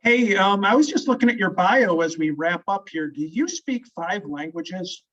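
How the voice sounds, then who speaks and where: A man's voice: high voice, expressive, moderate pace, a bit bright, distant mic. A man hosting as a family law attorney, talking in a rambling, stop-start, friendly way in a casual interview. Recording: muffled audio from off-mic.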